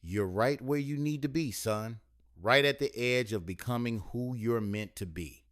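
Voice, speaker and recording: A soothing, loving mother, middle-aged and gently wise, with folksy turns of phrase, read by a man. Her words come tender and unhurried. The sound is dry and close, like a home audiobook recording. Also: medium pitch, no echo, lightly acted.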